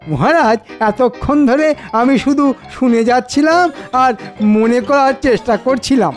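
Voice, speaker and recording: A greedy, scheming royal minister in a cartoon. The voice is high and theatrical, full of obsequious flattery, with music underneath. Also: male voice, moderate pace. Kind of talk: Bengali comedy cartoon voice acting.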